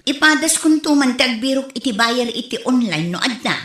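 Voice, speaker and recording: A woman's voice: sits high, expressive, a little fast, bright, forceful tone. A woman with a Filipino accent playing a practical, wary village farm wife in an audio drama. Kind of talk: amateur audio drama dialogue. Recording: roomy echo.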